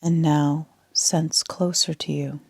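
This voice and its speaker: A woman leading a sleep meditation as a yoga nidra instructor, speaking in a soft, half-whispered, calm and soothing way. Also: sits low, slow pace, vocal fry, husky, dry recording, clean studio sound.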